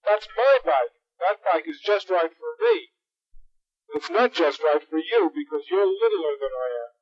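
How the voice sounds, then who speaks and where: A man's voice: on the high side, expressive, normal speed, breathy edge. A man voicing an impatient small boy who scolds his brother. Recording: dull lo-fi recording.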